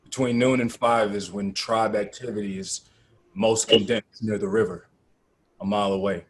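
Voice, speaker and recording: A man's voice: lowish voice, fairly even intonation, normal speed, a little hiss or hum, close to an everyday voice. A man acting in a table read as a cautious animal rights activist planning a rescue. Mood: businesslike. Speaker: actor in a screenplay table read.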